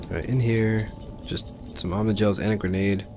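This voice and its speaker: A man's voice: on the low side, fairly even intonation, normal speed, music in the background. A man giving informal, explanatory commentary as he hosts a let's play of a video game. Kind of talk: casual gameplay commentary.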